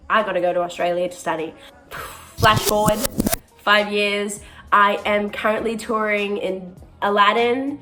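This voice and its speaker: A young woman, a touring musical theatre performer talking earnestly to camera in a casual vlog, often pausing on "um". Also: mid-range voice, sharp tone. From born in South Africa, raised in New Zealand. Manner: earnest and chatty, with frequent ums.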